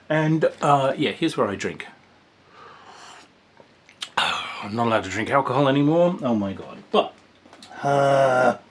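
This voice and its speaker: A man's voice: medium pitch, fairly bright tone, breathy edge. A man giving a casual, rambling spoken review of a tabletop game, with sighs, whispered asides and exclamations.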